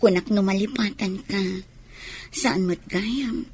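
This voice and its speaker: A woman's voice: mid-range voice, slow, a little mellow, a little hiss or hum, natural voice. A woman playing a possessive, sulking mistress in an Ilocano audio drama. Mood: jealous.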